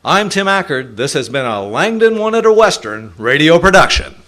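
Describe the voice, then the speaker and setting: A man's voice: on the high side, big pitch swings, normal speed, noisy background. A man announcing scripted closing credits for an amateur radio drama.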